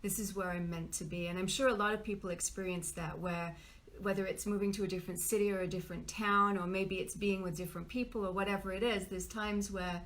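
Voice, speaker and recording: A woman's voice: medium pitch, steady tone, quick pace, fairly bright tone. A woman from the English Midlands, a guest coach telling her personal story in casual conversation. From Nottinghamshire, England. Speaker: guest, business coach and author.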